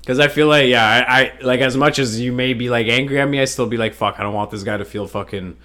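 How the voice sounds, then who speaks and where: A man's voice: mid-range voice, fast talker. Swearing guy spinning hypotheticals. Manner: animated, swears a lot, acts out scenes in loud put-on voices. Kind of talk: casual chat, hypothetical storytelling.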